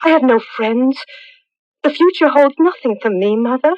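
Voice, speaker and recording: Composed, high-voiced, mysterious young woman. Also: animated, moderate pace, no room sound. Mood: composed, cryptic. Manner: composed, formal, cryptic. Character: calm, proud, mysterious young village woman, suspected witch. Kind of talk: 1980s radio drama acting. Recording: dull lo-fi radio broadcast recording.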